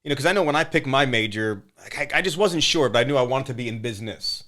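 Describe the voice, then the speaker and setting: A man hosting a podcast, upbeat and relaxed, with many fillers and repeated words. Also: medium pitch, fast talker. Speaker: podcast host. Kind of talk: casual interview chat.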